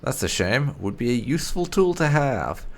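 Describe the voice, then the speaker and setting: A man's voice: medium pitch, very animated, normal speed. A man giving casual game playthrough commentary with light roleplay, musing aloud and reacting as the host. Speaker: gaming channel host.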